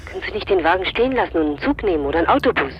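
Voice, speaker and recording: A woman's voice: on the low side, expressive, very fast, muffled recording, a little hiss or hum, lightly acted. An actress playing an anxious woman friend who speaks over the telephone in a 1980s radio drama. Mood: worried.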